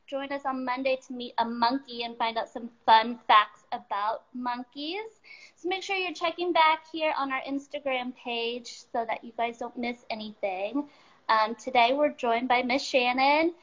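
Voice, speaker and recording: A woman's voice: high-pitched, a bit bright. A woman casually introducing a children's livestream as its host from home. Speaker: company presenter hosting a children's livestream. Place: at home, on a live stream. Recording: slightly dull livestream audio.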